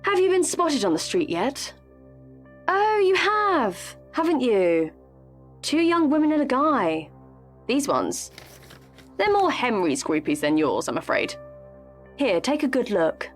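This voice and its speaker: A woman's voice: high-pitched, sing-song, a little slow, pauses often, soft background music, exaggerated voice. A woman acting in a radio play as a shrewd political operative from a rival party, knowing and pointed, leading with rhetorical questions. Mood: insinuating.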